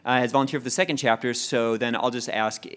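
A man's voice: mid-range voice, steady tone, brisk, a bit bright, smooth. A man leads a church class, reading verses aloud and asking the group for thoughts. He talks in a friendly, loose way and often stumbles and repeats words as he goes. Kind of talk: leading a Bible study class.

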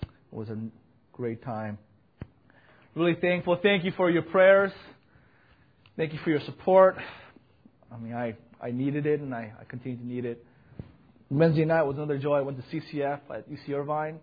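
Fervent, husky-voiced young pastor preaching. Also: male voice, a little high, moderate pace, long pauses, lo-fi sound. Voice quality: loud voice. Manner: earnest, emphatic, rising to fervent peaks. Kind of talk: sermon with personal report.

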